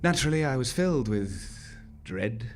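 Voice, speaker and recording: A man's voice: medium pitch, lively intonation, moderate pace. A man performing a scripted first-person story on stage in an arch, droll, dramatic manner. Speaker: monologue performer. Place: small theatre stage, live performance.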